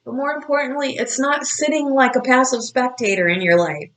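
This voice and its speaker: A woman's voice: sits high, brisk, a bit bright. A woman in her fifties, an astrology coach, giving blunt, scolding, motherly advice straight to her viewers. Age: 50s. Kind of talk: direct-to-camera advice talk.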